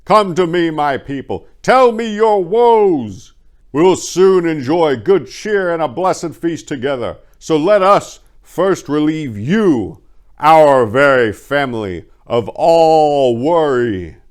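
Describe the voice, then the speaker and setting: A man voicing a grandiose Persian king in a dramatic story reading, proclaiming in a booming, drawn-out theatrical style. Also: lively intonation, clear, resonant tone. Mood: magnanimous, grand.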